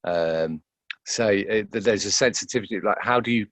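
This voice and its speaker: A man's voice: lowish voice, moderate pace, a bit bright, no room sound. A man giving conversational interview answers as an actor, hesitant and often repeating words as he thinks aloud.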